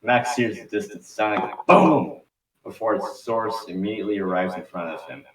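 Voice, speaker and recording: A man's voice: fairly low pitch, moderate pace, a bit bright. A man reading scripted narration as the narrator of an amateur table read. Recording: roomy, distant mic with faint noise.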